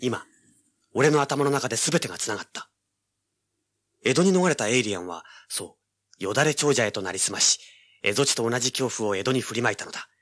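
A man's voice: mid-range voice, lively intonation, fairly quick, long pauses, a bit bright. An actor playing a brash, driven young male treasure hunter in an audio drama, with intense dramatic delivery. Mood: intent, dramatic. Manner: cocky, intense, rough casual speech. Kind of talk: dramatic first-person narration and dialogue, audio drama.

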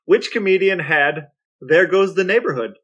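Bright, slightly high-pitched man chatting. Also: animated, normal speed, husky, dull audio. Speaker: podcast co-host. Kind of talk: casual chat.

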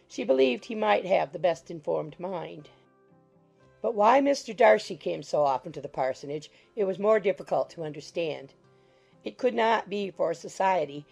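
A woman reads literary narration in a measured, formal way. Her voice is slightly low, very creaky and husky. Soft music runs faintly underneath. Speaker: audiobook narrator.

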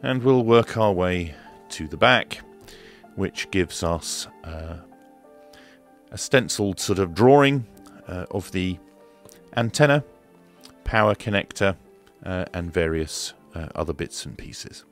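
A man's voice: deep voice, expressive, slow pace, pauses often, faint music behind. A middle-aged man presenting an equipment review in a small home studio, speaking into a desk microphone. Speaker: product reviewer and host. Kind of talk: radio equipment review narration. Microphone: close desk microphone.

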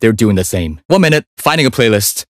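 Game role: young Chinese hitman, lowish register, cool, focused, understated; dry studio sound. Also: sing-song, fairly quick, no room sound. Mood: cool, composed. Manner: cool, understated, clipped delivery. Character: calm young Chinese male assassin, focused and politely deadly. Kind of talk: video game character voice lines.

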